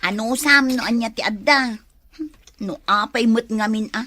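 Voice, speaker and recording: Female, bright and a little high, acting a vain, grumbling rural wife in comedy. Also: moderate pace. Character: complaining, vain, stubborn wife of a farmer. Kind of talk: comedy skit dialogue in Ilocano.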